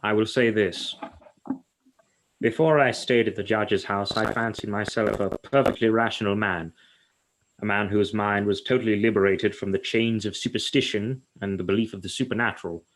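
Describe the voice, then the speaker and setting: A man's voice: fairly low pitch, steady tone, moderate pace, slight background noise, lightly acted, low-quality recording. A man acting in a radio play as a solemn, haunted psychiatrist who speaks formally and ominously. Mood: ominous, grave. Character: grave, haunted male psychiatrist who fears the supernatural.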